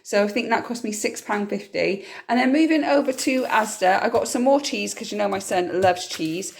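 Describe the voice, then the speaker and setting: A woman, a little hoarse and croaky from a cough, chatting through her grocery haul in a homely, rambling way. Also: medium pitch, normal speed. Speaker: vlogger. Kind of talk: casual grocery haul vlog.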